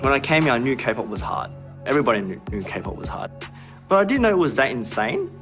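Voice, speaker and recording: A man's voice: mid-range voice, sing-song, fast talker, over music. Candid man in an interview. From Australia. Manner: laughing, candid storytelling. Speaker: interviewee, former trainee. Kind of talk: casual interview.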